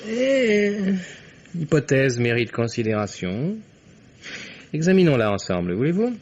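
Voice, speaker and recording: A man's voice: mid-range voice, big pitch swings, measured pace, a little mellow. An actor playing a young male investigator in an old French radio mystery, formal, methodical and pointedly accusing. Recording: dull, noisy vintage radio recording.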